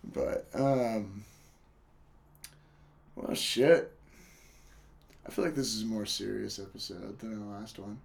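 A man's voice: lowish voice, slow, creaky, long pauses, darkish tone. A young man hosting a casual podcast, loose and profane, laughing often, his voice worn from a cough. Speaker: podcast host.

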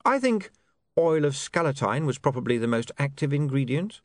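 A man's voice: fairly high pitch, sing-song, normal speed, no room sound, pitched above the performer's own voice. A man doing an earnest, prim young newspaperman. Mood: insistent.

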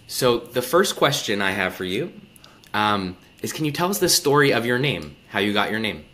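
A man hosts an interview in a very low voice with a bright, forceful edge. He talks conversationally and thoughtfully, taking a discursive route through his remarks and questions. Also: big pitch swings, moderate pace. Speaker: interview host.

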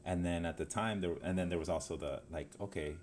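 A man's voice: low voice, fairly even intonation, quick pace, darkish tone, a little hiss or hum. A man in his twenties from Los Angeles, co-hosting a casual podcast, rambling and laughing at himself. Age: 20s. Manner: rambling, self-interrupting, laughs often. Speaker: podcast co-host. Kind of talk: casual chat.

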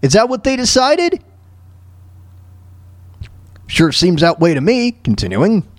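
Male talk radio host, high pitch, very dry close sound, casual rambling commentary, exclamatory. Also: sing-song, takes it slowly, no echo. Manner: rambling, exclamatory, repeats phrases.

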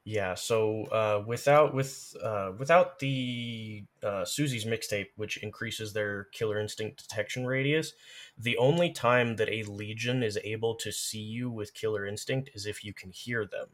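Low-voiced man, explaining conversationally. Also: measured pace. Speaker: podcast guest. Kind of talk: casual podcast discussion of game lore and strategy.

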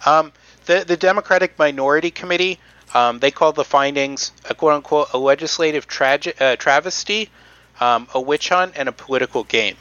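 A man's voice: on the high side, fairly quick, fairly bright tone, dry recording, lo-fi sound. A man hosting a casual podcast, reading out notes with many ums and restarts. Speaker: podcast host presenting research.

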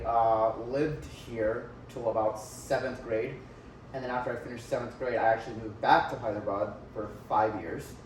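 A man's voice: medium pitch, a little hiss or hum. A man in his twenties from India, chatting with friends in a teasing way, with plenty of ums between his thoughts. His voice is caught from across an echoey room, away from the microphone. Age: 20s. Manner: teasing, lots of fillers. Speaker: podcast co-host. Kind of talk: casual chat. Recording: roomy echo, distant mic.

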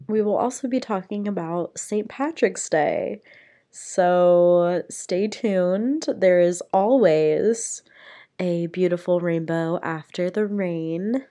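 Young woman host, hoarse and raspy from a cold, unhurried, low-key chatty delivery. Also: mellow. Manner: chatty, playful, rambling with fillers. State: hoarse from being sick. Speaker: podcast host. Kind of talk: casual solo podcast chat. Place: small room at home.

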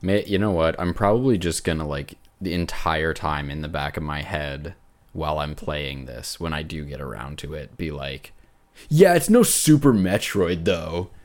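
Very deep-voiced man chatting casually. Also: animated, moderate pace. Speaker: podcast host. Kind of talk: casual chat about video games.

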